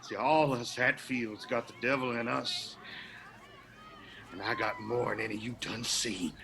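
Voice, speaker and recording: A taunting, slow-drawling Appalachian mountain man. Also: medium pitch, fairly even intonation, creaky, heavy background noise. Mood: menacing. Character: drunken, defiant Hatfield mountain man, taunting his young attackers. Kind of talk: radio drama acting. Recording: loud music under the voice.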